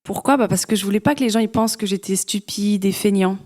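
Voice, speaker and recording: A woman interpreting a sermon live, sentence by sentence, in a relaxed way with frequent "euh" fillers. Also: mid-range voice, fast talker.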